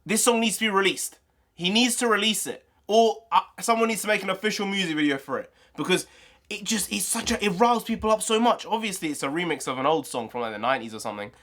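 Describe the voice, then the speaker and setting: A congested young man, talking casually and rambling excitedly as a channel host. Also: sits high, fast. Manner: rambling, excitable, full of restarts. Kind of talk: casual solo commentary.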